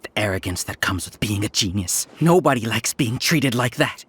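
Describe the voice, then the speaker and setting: A man's voice: on the low side, animated, normal speed, a bit bright, breathy edge, full character voice. An actor playing a proud, bitter male researcher villain in a video game, dramatic and resentful in delivery. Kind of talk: scripted video game dialogue. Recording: background music under dialogue.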